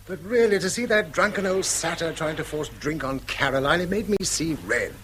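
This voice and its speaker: An actor playing a priggish, moralising English husband in a stiff, righteous radio drama manner. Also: male voice, on the high side, expressive, moderate pace, a bit bright, slight background noise. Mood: grudgingly contrite. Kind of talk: British radio drama acting.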